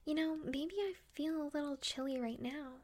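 A woman speaking in an intimate, affectionate roleplay style to a partner, breathy and often dropping into a whisper. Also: sits high, normal speed, darkish tone. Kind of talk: intimate erotic audio roleplay, addressing a partner.

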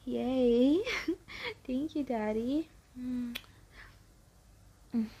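A young woman with a high, mellow voice performs an intimate audio roleplay. She speaks slowly and softly, her delivery breathy and often sinking into whispers. Her tone stays teasing and pleading throughout. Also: muffled recording.

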